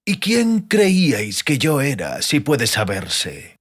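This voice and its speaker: A man voicing an oily, insinuating stranger in an audiobook, speaking slowly with drawn-out, false courtesy. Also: medium pitch, huge pitch swings, a bit bright, voice pushed up, exaggerated voice. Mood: smooth, insinuating. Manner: slow, drawn-out, deliberately polite. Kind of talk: audiobook character voice.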